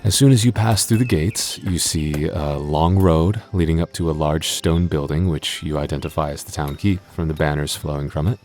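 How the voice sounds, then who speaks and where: Very deep-voiced exasperated young dungeon master man acting. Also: normal speed, few pauses, a little mellow, faint music behind. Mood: measured narration. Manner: exasperated, hesitant, sighing. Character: exasperated young male dungeon master, tsundere childhood friend. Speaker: game master. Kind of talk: ASMR audio roleplay acting.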